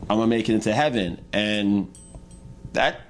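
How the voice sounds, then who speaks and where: A man's voice: on the low side, moderate pace, a little hiss or hum. A young man telling his story in a casual interview, rambling with many fillers and restarts. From Trinidad and Tobago. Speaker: guest, hip-hop artist.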